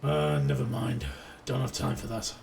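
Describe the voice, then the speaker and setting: A man plays a big, swaggering marshal with a heavily creaking, gravelly voice. He is brusque and sure of himself. He sounds set back from the mic in an echoing space, as in a noir radio play. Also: mid-range voice, expressive, moderate pace, darkish tone. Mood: brusque, cocky. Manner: brusque, confident. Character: big hairy swaggering lawman, a no-nonsense sector marshal. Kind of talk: noir cyberpunk audio drama acting. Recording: distant, echoey, faint noise.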